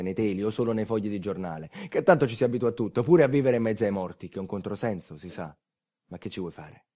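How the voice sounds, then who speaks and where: Bitter quake survivor telling his tale onstage style, sardonic, talks fast, pitch swings around a lot. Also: dark tone. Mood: grim, resigned. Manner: sardonic, folksy, with wry asides. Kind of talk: theatrical storytelling monologue.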